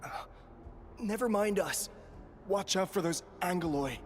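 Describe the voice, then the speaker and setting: A man's voice: high voice, a little slow, big-room sound, faint music behind. A man voicing an alert male fighter in a sci-fi video game. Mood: urgent. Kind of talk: video game character voice acting.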